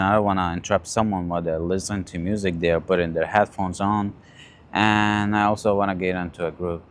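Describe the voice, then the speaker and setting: Male, late teens, lowish pitch. Casual confessional vlogger, halting delivery with repeated phrases. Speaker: vlogger sharing personal stories and advice. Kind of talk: casual confessional talk, storytelling.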